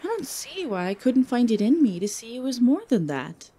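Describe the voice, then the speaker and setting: Woman voicing a lively, animated young huntress. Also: on the high side, moderate pace, husky, no room sound, pitched above the performer's own voice. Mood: earnest, tentative. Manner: earnest, informal, teasing. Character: young extroverted huntress, affectionate, earnest, playful. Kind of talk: audiobook fan fiction character dialogue.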